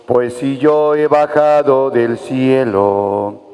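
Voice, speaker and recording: A man's voice: medium pitch, very slow, mellow, faint music behind. A man with a Mexican Spanish accent, singing a communion hymn as cantor at mass, drawn out and devotional. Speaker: church cantor. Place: parish church during mass. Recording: long church reverb with accompaniment.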